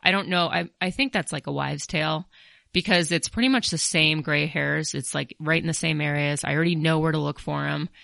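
Gravelly-voiced young woman host. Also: sits low, fairly quick, fairly bright tone, dry recording. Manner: chatty, self-deprecating, with "like" fillers. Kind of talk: casual conversation.

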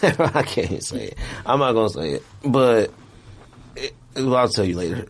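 A man's voice: medium pitch, moderate pace, breathy edge. A man in his late thirties hosting a casual podcast, talking in an opinionated, slangy, emphatic way. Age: late 30s. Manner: animated, emphatic ranting with slangy address. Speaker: podcast host.

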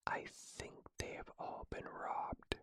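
A fretful, distressed young girl from a storybook, as a narrator whispers her. Her words come out as a soft, breathy whisper at a slow pace. The recording is dry and close. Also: dry recording.

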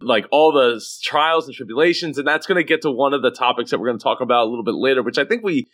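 A man's voice: mid-range voice, fast talker, a bit bright. A man hosting a podcast, talking casually and emphatically about business, often repeating short phrases. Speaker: podcast host. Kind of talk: animated casual business discussion.

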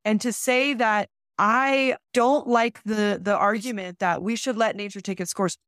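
A woman's voice: mid-range voice, steady tone, unhurried, a bit bright, no echo, clean studio sound. A woman, a natural resources specialist, explaining fire ecology at a conference panel with plain analogies.

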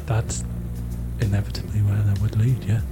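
A man's voice: fairly low pitch, level tone, moderate pace, lightly acted. A man playing a seasoned explorer who is officious and matter-of-fact about marching to his death in an improvised comic audio drama. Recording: music running under the voice.

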